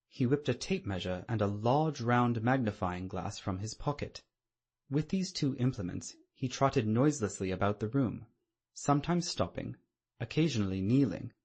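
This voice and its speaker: A man's voice: medium pitch, expressive, a little slow, a little mellow, slightly rough. A man reading scripted literary narration as the narrator of an audiobook.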